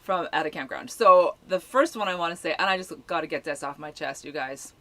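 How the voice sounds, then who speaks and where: A middle-aged woman with a low voice, co-hosting a casual live-stream chat and telling stories with laughter. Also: brisk. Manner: animated, laughing storyteller. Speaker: co-host and campground staff.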